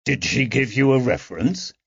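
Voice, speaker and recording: A man voicing a gentle, coaxing constable. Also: medium pitch, animated, normal speed, gravelly, breathy edge, dry recording, full character voice. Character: gentle, patient male police constable. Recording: dull, dry audiobook recording.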